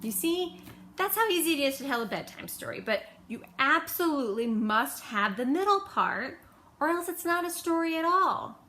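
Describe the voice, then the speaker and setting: A woman's voice: high-pitched, sing-song, normal speed, bright, forceful tone, voice pushed up, heavily acted. A woman voicing a bossy, exasperated little girl who explains things emphatically in a storybook reading. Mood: instructing. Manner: emphatic, instructing.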